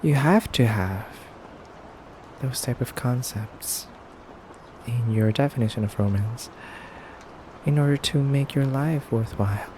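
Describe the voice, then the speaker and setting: A man playing an aloof, condescending male vampire in an ASMR roleplay, measured and halting, often dropping toward a breathy whisper. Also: mid-range voice, a little mellow, a little hiss or hum, close to an everyday voice, rough recording. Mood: cool, condescending. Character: aloof, condescending, elegant femboy vampire interrogator. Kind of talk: slow, soft ASMR roleplay monologue.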